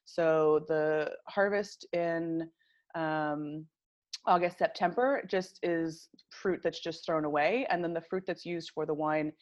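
A woman's voice: deep voice, slow, a little mellow, muffled recording. A woman in her thirties with an American accent, chatting casually as an interview guest with plenty of ums and uhs. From United States. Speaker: wine industry guest.

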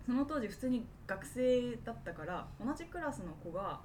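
A woman's voice: on the high side, sing-song, fairly quick, darkish tone, recorded from a distance, a little hiss or hum. A woman co-hosting a podcast, telling personal anecdotes in a casual, self-deprecating, confessional way. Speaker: podcast co-host.

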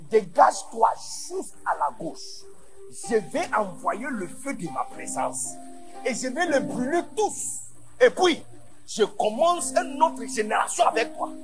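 A man from Ghana with English as his first language, preaching a sermon in French to a large conference, going from quiet asides to shouted emphasis. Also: extremely high pitch, sing-song, unhurried, music in the background, rough recording. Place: large church conference hall.

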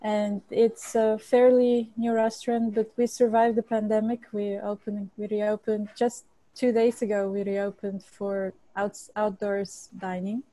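A woman's voice: medium pitch, little pitch movement, a little slow, mellow, no room sound, poor audio. A woman from Bulgaria, a chef and cookbook author, answering interview questions earnestly with frequent restarts.

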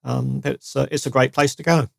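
A man, co-hosting a podcast in relaxed, chatty talk with frequent restarts. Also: mid-range voice, normal speed, creaky, no echo, studio quality. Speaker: podcast co-host. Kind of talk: casual chat.

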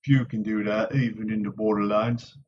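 A man reading an audiobook voices a terse male warrior who speaks in a quiet, clipped, patient way. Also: on the low side, little pitch movement, normal speed, highs cut off, a little hiss or hum, pitched below the performer's own voice, natural voice. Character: stern, terse male warrior, guarded and low-spoken.

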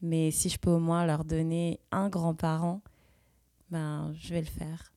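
Woman in her 30s doing a personal podcast, earnest, kinda slow, dry close mic. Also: deep voice, flat delivery, dark tone, dry recording. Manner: intimate, earnest, slow and deliberate. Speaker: podcast host. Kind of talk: personal reflective podcast monologue.

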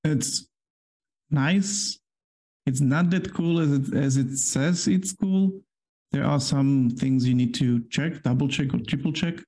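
A man's voice: medium pitch, takes it slowly, warm tone. Polish-accented guy, second-language english, chatty podcast cohost, lots of "you know" and "like". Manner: rambling, filler-heavy explaining. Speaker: podcast co-host. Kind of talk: casual chat.

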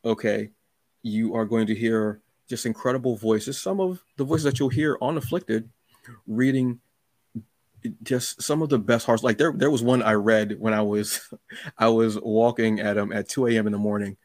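Low-voiced man hosting a chatty podcast. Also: normal speed, a little mellow, no echo. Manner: effusive, rambling, repeats words. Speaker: podcast host and interviewer. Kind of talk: casual, chatty interview.

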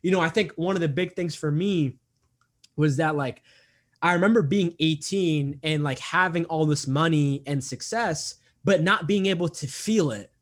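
A man's voice: a little high, normal speed. A man in his twenties talking earnestly in an interview, repeating himself often and filling gaps with "um" and "like". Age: 20s. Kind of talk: casual interview talk.

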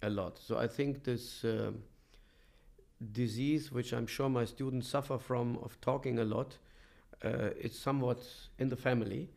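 A middle-aged man from Germany telling his story as an interview guest, with halting, thoughtful pauses. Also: medium pitch, fairly even intonation, vocal fry, darkish tone. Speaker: podcast guest, professor and coach. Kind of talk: reflective conversational interview storytelling.

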